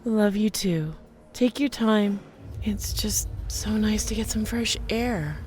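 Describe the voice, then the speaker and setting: A woman playing a gentle, grateful mother of a grown daughter in an audio drama. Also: medium pitch, slow pace, a little hiss or hum, lightly acted. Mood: tender.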